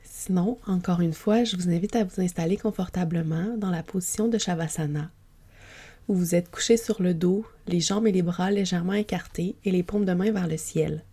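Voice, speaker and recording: Soft, breathy woman guiding sleep relaxation. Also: fairly low pitch. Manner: gentle, soothing, unhurried. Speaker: yoga nidra guide. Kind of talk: guided sleep relaxation, meditation narration.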